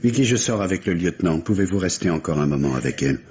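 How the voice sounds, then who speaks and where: Low-voiced, courteous bereaved husband. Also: male voice, animated, fast talker, a little mellow, slightly rough, full character voice. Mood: grateful, sober. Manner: polite, reserved. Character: courteous, restrained bereaved husband, grave and polite. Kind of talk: vintage French radio mystery acting. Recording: dull, noisy old radio recording.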